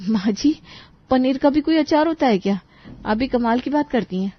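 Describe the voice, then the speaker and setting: Brief: woman voicing an exasperated young bride, high voice, dull mic. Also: lively intonation, moderate pace. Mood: polite. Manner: complaining, frantic. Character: young daughter-in-law, exasperated and whiny. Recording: dry, slightly muffled studio voice.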